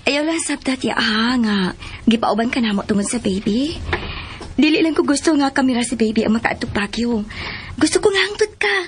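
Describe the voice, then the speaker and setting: Woman acting a high-voiced, affectionate Filipino young wife. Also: expressive, brisk, a little hiss or hum, lightly acted. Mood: pleased, excited. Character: affectionate young wife and devoted new mother. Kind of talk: Filipino radio drama acting.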